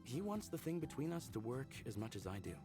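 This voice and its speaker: An actor voicing an upbeat, smitten young man in a visual novel game, speaking in an earnest, casual, expressive way. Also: mid-range voice, fairly quick. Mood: earnest, reassuring. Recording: background music under the voice.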